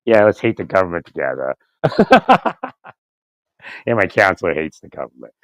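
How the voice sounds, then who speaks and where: Low, slightly raspy man rambling. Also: huge pitch swings, no room sound, lo-fi sound. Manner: halting, repeats words, chuckles often, asks "right?". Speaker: vlogger. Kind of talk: rambling casual monologue. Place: outdoors in winter. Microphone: phone.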